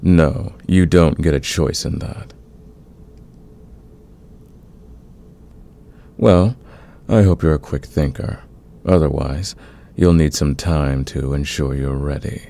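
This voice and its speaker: A man playing a smitten, dominant fantasy villain in a romantic ASMR roleplay, speaking in an intimate, teasing, confident way with a gravelly, husky voice. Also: rumbling low, measured pace, hardly any pauses, warm tone, exaggerated voice. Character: smitten male fantasy villain, patient, dominant, smoothly seductive.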